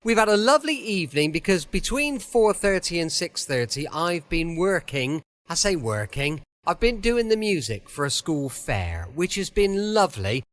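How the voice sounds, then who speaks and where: A British man hosting a podcast, chatting in a relaxed, courteous, conversational way. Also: high-pitched, normal speed, few pauses. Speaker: podcast host. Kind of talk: relaxed, chatty show introduction.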